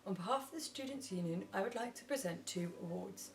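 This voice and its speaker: A woman's voice: mid-range voice, expressive, a little fast. A young woman making a formal award presentation as a student president. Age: young adult. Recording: distant mic, music clearly behind.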